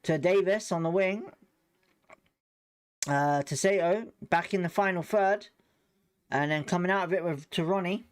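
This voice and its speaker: A man's voice: high-pitched, measured pace, long pauses, clean tone. A man with a British accent giving informal live football commentary as a fan host, blunt, slangy and sweary in his reactions.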